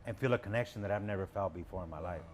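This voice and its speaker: A man's voice: low voice, slight background noise. A man from Los Angeles whose first language is Spanish, chatting casually and loosely as a guest in an interview, with some slang. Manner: relaxed, chatty, slangy, quick.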